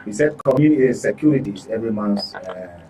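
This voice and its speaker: A man's voice: on the low side, lively intonation, fast, warm tone, a little hiss or hum. A man with a Nigerian accent giving impassioned political commentary as a radio panelist, emphatic, with rhetorical questions and repeated phrases. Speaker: studio panelist on a political radio talk show.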